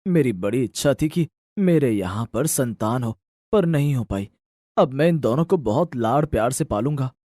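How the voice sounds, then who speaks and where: A man's voice: medium pitch, expressive, normal speed, dark tone, dry recording, studio quality, natural voice. A man voicing a humble, devoted poor farmer, earnest and resolute, in a moral story. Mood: grateful. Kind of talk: dramatised Hindi moral story acting.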